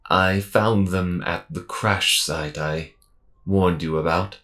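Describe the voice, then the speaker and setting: A man playing a friendly, calm robot mechanic with dry humour and a measured, gently wry delivery in an audio roleplay. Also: low voice, exaggerated voice. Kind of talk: slow intimate audio roleplay acting.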